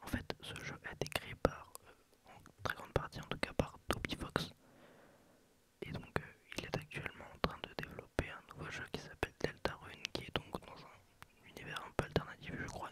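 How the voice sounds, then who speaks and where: A man with a head cold whispering a rambling, soft ASMR game playthrough close to the mic. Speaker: gameplay ASMR creator. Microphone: close ASMR microphone.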